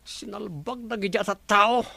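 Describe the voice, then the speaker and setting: Male actor as scheming villain boss, slightly high voice, Ilocano accent, hot-tempered, radio drama. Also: unhurried, creaky, pushed and bright, slight background noise, exaggerated voice. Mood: angry, stubborn. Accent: Filipino (Ilocano).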